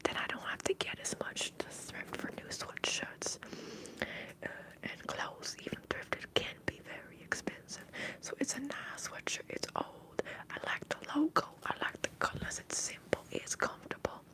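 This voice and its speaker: A woman's voice: moderate pace, low-quality recording. A woman with a Minnesotan accent whispers breathily in a rambling, conversational ASMR livestream, chatting to her viewers. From Minnesota, US upper Midwest. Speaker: livestream host.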